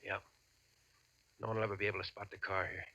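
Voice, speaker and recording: A man's voice: lowish voice, flat delivery, fast, sharp tone. A man playing an injured, sardonic ex-convict bank robber in a 1940s radio drama, terse and dryly defiant. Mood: guarded calm. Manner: terse, dry, tough-talking. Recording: muffled old radio recording.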